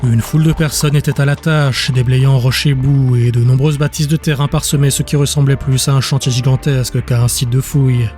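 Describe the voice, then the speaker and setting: A man reads a dramatic fantasy audiobook as narrator. His voice sits at a medium pitch, with music clearly audible behind the scripted storytelling. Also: steady tone, brisk, few pauses, a little mellow.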